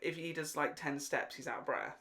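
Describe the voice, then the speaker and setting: A woman's voice: sits low, animated, fairly quick, fairly bright tone. A woman with a British accent co-hosting a casual podcast, chatting in a playful, jokey and opinionated way. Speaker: podcast co-host.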